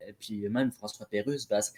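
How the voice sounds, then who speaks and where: A man's voice: lowish voice, fairly even intonation, normal speed, a little mellow. A man in his early twenties with a Quebec French accent, speaking tentatively with many asides in a casual online debate. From Quebec, Canada. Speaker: debate participant.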